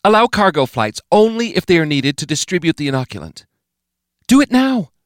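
A man's voice: high voice, big pitch swings, fairly quick, dry recording, studio quality, voice pushed up. A man voicing a stern, commanding woman president, giving clipped, decisive orders in an audiobook. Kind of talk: audiobook character voice.

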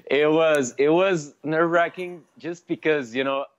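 A man whose first language is Armenian, a sommelier chatting in an interview, halting with many fillers and restarts. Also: a little high. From Lebanon. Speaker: sommelier guest. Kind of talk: casual interview chat. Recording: dull, dry lo-fi sound.

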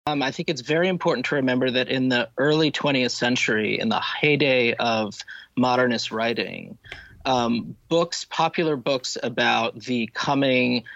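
A man giving an analytical take on literature as a podcast guest, deliberate and given to emphatic repetition. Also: medium pitch, fairly bright tone, no echo. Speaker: podcast guest, novelist and critic. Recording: dull, dry close audio.

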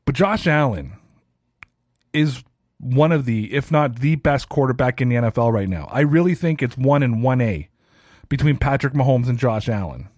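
A man's voice: mid-range voice, lively intonation, normal speed, darkish tone, dry recording, studio quality. A man in his thirties hosting a solo sports podcast, talking casually and ranting with a self-deprecating streak. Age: 30s. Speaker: podcast host.

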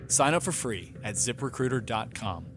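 A man's voice: medium pitch, lively intonation, normal speed, a bit bright. A man, the show's director, reading a scripted sponsor message to listeners. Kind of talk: scripted host-read ad. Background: music.

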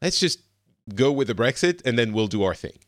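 A man's voice: mid-range voice, quick pace, no room sound, studio quality. A man hosting a casual panel discussion, often searching for his words and chuckling. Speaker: podcast host moderating a panel.

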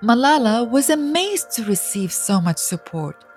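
A woman narrator reading a scripted children's biography in a deliberate, expressive storytelling manner. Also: fairly high pitch, faint music behind. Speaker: audiobook narrator.

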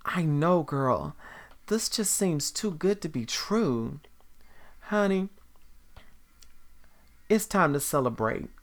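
A performer voicing a sassy woman best friend who talks loud and brassy, with faint music behind. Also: mid-range voice, lively intonation, takes it slowly, long pauses. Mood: hyped. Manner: brassy, fussing, calls people honey and girl.

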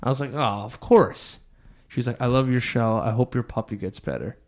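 A man's voice: mid-range voice, fairly quick, a little mellow. A man of thirty co-hosting a podcast, chatting casually in a blunt, crude, joking way. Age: 30s. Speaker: podcast co-host. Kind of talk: casual chat.

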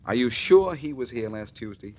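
An actor playing a confident, wry amateur detective in a 1940s radio drama, brisk and probing. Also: male voice, mid-range voice, animated, close to an everyday voice. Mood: probing, insistent. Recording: dull, noisy old 1940s radio recording.

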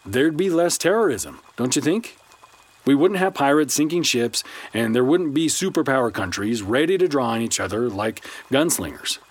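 A man's voice: mid-range voice, lively intonation, moderate pace, natural voice. A man playing a principled, skeptical son in a science fiction audio drama, confrontational yet uneasy and worn down. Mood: accusing.

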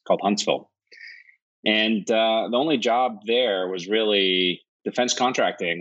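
A man from Iceland, a company founder answering interview questions in a steady, thoughtful way, often listing points in runs. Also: low voice, dull audio. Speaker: guest, tech founder.

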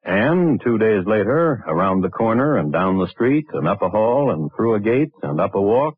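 An actor narrating an old radio crime drama as a grave, wry storyteller, measured and deadpan. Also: male voice, on the low side, husky, dry recording. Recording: tinny, band-limited vintage radio recording.

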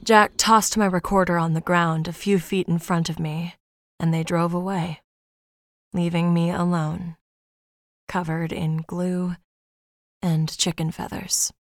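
An actress playing a beleaguered, earnest podcast investigator in a first-person audio drama. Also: female voice, on the low side, little pitch movement, measured pace, no room sound, clean studio sound. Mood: wry, bleak narration.

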